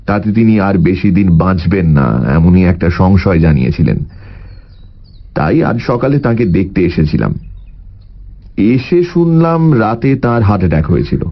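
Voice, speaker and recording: An actor playing a polite, probing private detective in an audio story, with courteous, measured questions. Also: male voice, low voice, sing-song, dull audio, heavily acted. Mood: calm, inquiring.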